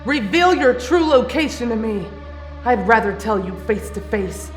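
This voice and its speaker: A woman playing a cold, vengeful young female warrior in an anime fan drama, speaking deliberately and accusingly. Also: fairly high pitch, animated, natural voice. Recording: echoey voice with faint music.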